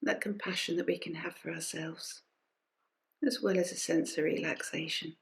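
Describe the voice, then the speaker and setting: A woman leading a guided meditation as a teacher, speaking gently and unhurriedly in short phrases with pauses and soft invitations. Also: low-pitched, steady tone, creaky. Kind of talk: guided body scan meditation. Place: recorded during an online session.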